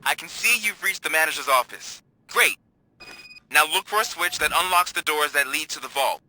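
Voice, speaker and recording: A man's voice: on the high side, moderate pace, pushed and bright. An actor playing an earnest, nervous rookie policeman in a video game, eager, flustered and hesitant. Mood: helpful.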